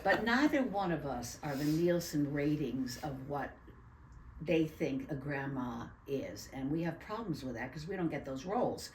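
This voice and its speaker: Older woman, from New York, low voice, lively laughing storyteller, echoey room. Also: moderate pace, noisy background. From USA (New York). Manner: animated storyteller, laughing asides. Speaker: guest actress. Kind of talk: casual interview chat. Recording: roomy echo.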